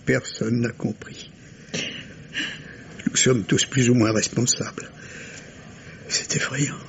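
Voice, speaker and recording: An actor playing a courteous, grieving middle-aged father, measured and formal, in the manner of 1950s French radio drama. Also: mid-range voice, flat delivery. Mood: grave. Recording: dull lo-fi old radio, noisy.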